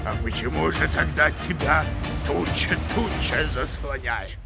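A man voicing a dying, gluttonous ogre in a folk tale, wailing drawn-out, pleading questions. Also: a little high, vocal fry, loud music behind the voice. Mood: anguished, questioning.

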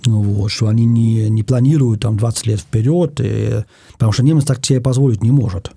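A man from Germany, a guest in an interview, speaking thoughtfully in casual talk and pausing often to find his words. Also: fairly low pitch, vocal fry, mellow, breathy edge, dry recording, studio quality.

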